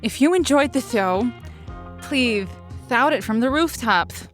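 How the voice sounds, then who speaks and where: Exasperated, high-voiced young woman. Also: animated, measured pace, a bit bright, faint music behind. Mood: chipper announcing. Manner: exasperated, dramatic, pleading. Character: flustered, lovelorn young woman hosting a lonely-hearts show. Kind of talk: audio comedy drama acting.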